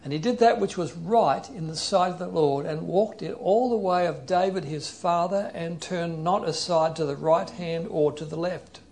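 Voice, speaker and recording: A man preaching a sermon in an earnest, explanatory way, leading a prayer and reading scripture passages aloud slowly. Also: fairly high pitch, creaky, darkish tone.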